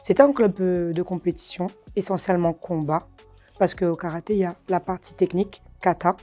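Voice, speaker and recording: Low mellow woman. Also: brisk, soft background music. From Réunion. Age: 30s. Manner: hesitant, many fillers, soft laughs. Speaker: karate champion guest. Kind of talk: casual interview answers.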